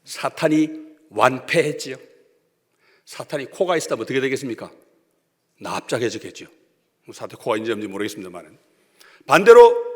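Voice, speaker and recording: A male pastor with a Gyeongsang accent preaches with sharp swings between loud declarations and near-whispered asides. His pitch leaps up and down as he presses each point. The sound carries a long reverb from a church sanctuary. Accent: Gyeongsang Korean. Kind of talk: sermon.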